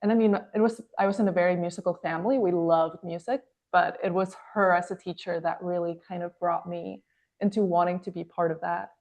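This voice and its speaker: A woman's voice: lowish voice, fairly even intonation, brisk, darkish tone. A woman from Venezuela, a singer, telling her story earnestly in a casual interview. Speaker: singer guest.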